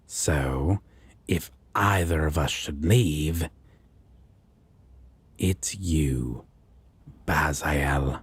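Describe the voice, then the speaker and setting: Man acting a low, husky, sardonic demon. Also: heavily acted. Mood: dry, annoyed. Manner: slow, dry drawl with pet names. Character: sardonic male demon roommate, exasperated yet fond. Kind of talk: audio roleplay acting.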